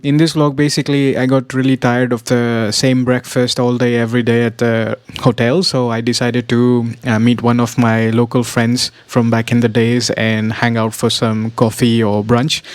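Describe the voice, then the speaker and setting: A young man narrating his travel vlog as a casual voiceover, relaxed and rambling with fillers. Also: medium pitch, no echo. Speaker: travel vlogger.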